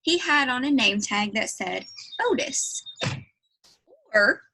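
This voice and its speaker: A woman's voice: fairly high pitch, expressive, moderate pace, vocal fry, bright, forceful tone, dry recording. A woman reading a children's book aloud as a teacher would, telling the story as narrator.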